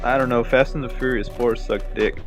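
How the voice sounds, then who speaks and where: A man's voice: mid-range voice, fairly even intonation, normal speed, highs cut off. A man in casual, crude, sarcastic banter as a co-commentator on a video game playthrough. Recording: music and game sounds behind. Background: loud game music and effects.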